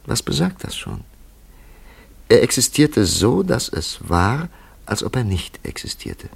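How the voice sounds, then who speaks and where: An actor in a German radio play playing a grave, thoughtful man who speaks in a measured, philosophical way with a gravelly voice. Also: medium pitch, big pitch swings, exaggerated voice. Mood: solemn, contemplative. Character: grave, thoughtful man pondering a supernatural mystery.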